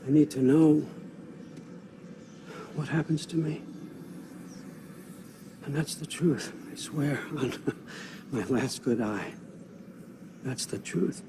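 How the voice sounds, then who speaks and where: An actor playing a cunning, aging one-eyed god in a video game cutscene, a manipulative father who talks conversationally, with sardonic, persuasive phrasing and pointed pauses. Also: a little high, background noise. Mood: earnest, confiding. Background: music.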